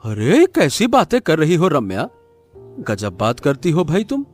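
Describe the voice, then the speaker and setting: High-pitched, animated village farmer played by a man. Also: measured pace, faint music behind, heavily acted. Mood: exasperated. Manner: animated, colloquial remonstrating. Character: trusting, stubborn poor village farmer, loyal to family.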